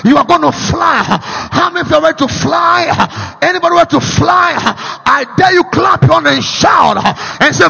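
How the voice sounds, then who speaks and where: Man, Ghanaian accent, very high piercing shout, gravelly edge, long echo in a big hall. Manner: shouting, sing-song, with rapid bursts and repeated rising phrases. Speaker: preacher. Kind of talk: sermon. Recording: dull lo-fi sound with long hall reverb.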